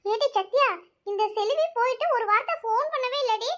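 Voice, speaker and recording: Woman doing a fretting auntie, high quick voice, keeps complaining. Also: a bit bright. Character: worried aunt, older woman, fretting.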